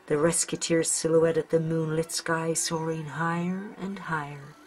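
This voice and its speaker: A woman's voice: low voice, level tone, measured pace. A woman with an Irish accent narrating a scripted children's storybook. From Ireland. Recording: music under the voice.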